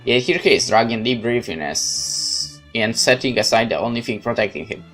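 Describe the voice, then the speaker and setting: A man narrating a horror visual novel in first person, calm, measured and brooding. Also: medium pitch, faint music behind. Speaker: let's play host narrating a visual novel.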